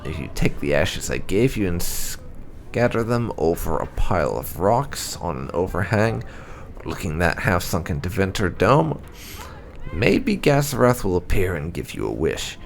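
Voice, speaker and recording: A man narrating game lore notes aloud in a measured, storyteller-like way. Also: low voice, big pitch swings, creaky, slight background noise. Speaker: gaming video host.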